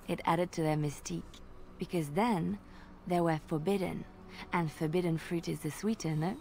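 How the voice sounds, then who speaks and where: A woman's voice: lowish voice, fairly even intonation, normal speed, vocal fry, darkish tone. Young female minstrel, devout, coy and wistful, formal phrasing, video game dialogue, noisy ambient background. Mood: playful, coy.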